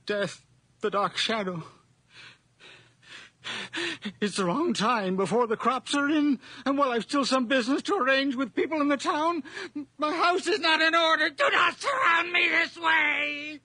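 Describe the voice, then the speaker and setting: An actor playing a frail, world-weary and fearful old widower in a radio drama, with halting, sighing speech and a creaky rasp. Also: male voice, very high-pitched, sharp tone, no echo. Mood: terrified, pleading. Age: older.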